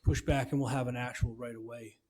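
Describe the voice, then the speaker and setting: A man presenting a subdivision plan to a town planning board, loud and halting with many restarts. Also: mid-range voice, flat delivery, crowd noise. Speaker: applicant's representative.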